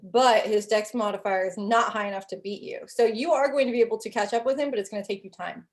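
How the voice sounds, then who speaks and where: A woman's voice: mid-range voice, quick pace. A young adult woman narrating as game master in a casual way, full of "like" and "um" fillers.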